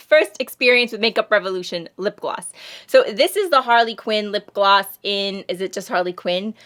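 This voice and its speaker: A woman's voice: medium pitch, a bit bright. A woman with a Long Island accent, rambling and self-deprecating as she chats through makeup reviews, a little sniffly from allergies. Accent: Long Island New York. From United States. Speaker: beauty vlogger. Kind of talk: casual makeup review chat.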